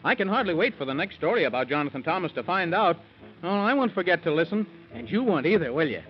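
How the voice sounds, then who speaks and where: Male children's serial narrator, highish and very lively, theatrical, vintage muffled radio sound. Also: fast talker. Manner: theatrical, exclamatory, addresses child listeners. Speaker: story narrator. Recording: muffled 1930s radio recording. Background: music.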